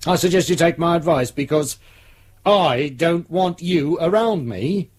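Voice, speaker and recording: An actor playing a cold, condescending British police superintendent, an older boss who scolds, in a radio drama. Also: male voice, on the high side, expressive, a little slow, darkish tone, husky.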